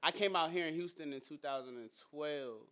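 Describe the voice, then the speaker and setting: A man's voice: on the high side, brisk, sharp tone. A man in his twenties from the D.C. area, hosting a casual interview in a laid-back, slangy way with lots of fillers. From Washington, D.C. area. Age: 20s. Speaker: podcast host.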